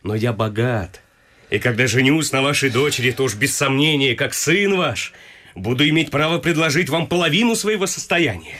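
A man's voice: medium pitch, expressive, normal speed, sharp tone. A man playing an ardent, gallant young suitor in a classic comedy radio play, speaking in a florid, earnest, theatrical way. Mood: confident, persuasive.

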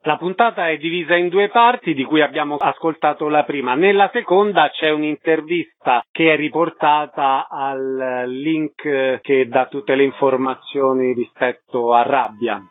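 A man's voice: a little high, unhurried, few pauses, no room sound. A man hosting a radio interview, introducing and asking questions. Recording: narrow, tinny phone-like sound.

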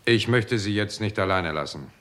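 Low-voiced, wry police inspector. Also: male voice, expressive, normal speed, fairly bright tone. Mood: businesslike. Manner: dry, musing, sardonic. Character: wry, shrewd male police inspector in Hollywood. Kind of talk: 1960s German radio crime drama acting. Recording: noisy 1960s radio drama recording.

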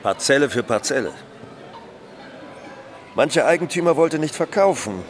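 An actor playing a self-assured drug lord in a German radio drama, speaking in a casual, measured way. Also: male voice, a little high, expressive, close to an everyday voice. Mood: nonchalant, proud. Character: self-assured male drug lord recounting his estate.

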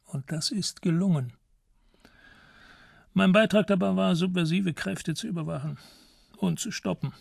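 A man playing a courtly, secretive old ex-intelligence officer in a radio crime drama, gravelly and formal, choosing his words with dignity. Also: high voice, unhurried, pauses often, dry recording, studio quality, heavily acted. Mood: self-justifying. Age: older.

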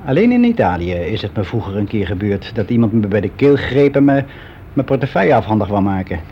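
A man's voice: fairly low pitch, very animated, brisk, slight background noise. An actor playing a fussy middle-aged man who confides in a hesitant, matter-of-fact, conversational way, as in a radio play monologue. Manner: hesitant, matter-of-fact, self-justifying, as if answering an interviewer. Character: frank, fussy middle-aged man confiding about his private life. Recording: dull lo-fi radio recording.

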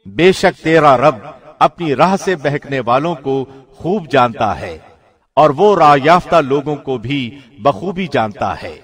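A man reading a scripture translation from a script in a formal, measured radio-announcer manner. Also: medium pitch, expressive, slightly rough. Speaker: translation reader, radio presenter.

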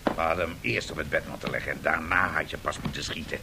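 A man playing a gruff, impatient gangster henchman in a radio drama, blunt and grumbling. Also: a bass voice, steady tone, fast talker, very noisy. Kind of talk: 1970s Dutch radio drama acting.

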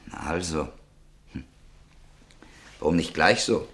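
Ein Schauspieler spielt in einem deutschen Hörspiel einen nervösen, verlassenen Mann, der ungeduldig und kurz angebunden eine Waffe kaufen will. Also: male voice, extremely low pitch, animated, takes it slowly, a bit bright, exaggerated voice. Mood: curt, evasive. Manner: curt, evasive, irritable. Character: tense, jilted man impatient to buy a revolver. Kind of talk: German radio play acting.

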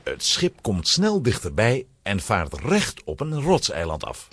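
Casting: man as children's story narrator, raspy, extremely expressive scripted delivery. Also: on the low side, a little slow.

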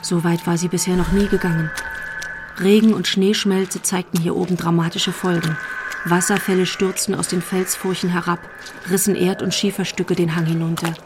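A woman's voice: lowish voice, level tone, normal speed, noisy background, lightly acted. An actress playing the composed storyteller of a crime radio drama, a woman reading scripted literary narration.

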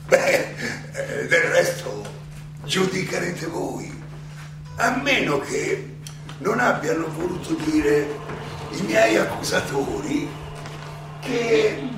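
Old greek philosopher defending himself onstage, ironic n indignant, keeps repeating himself, far off in big echo, music behind. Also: male voice, high-pitched, animated, creaky, full character voice. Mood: wry, self-deprecating. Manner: halting, rhetorical, repeats phrases, interjects questions. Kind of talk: theatrical stage monologue. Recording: very echoey, distant miking, music behind.

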